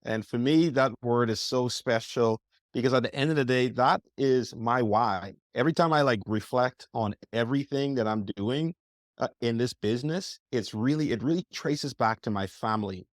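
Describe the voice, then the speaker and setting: A man's voice: medium pitch, moderate pace, dry recording. Eager young man, dry sound. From Bahamas. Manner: eager, rambling, heavy use of like and you know. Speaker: podcast guest. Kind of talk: casual interview storytelling.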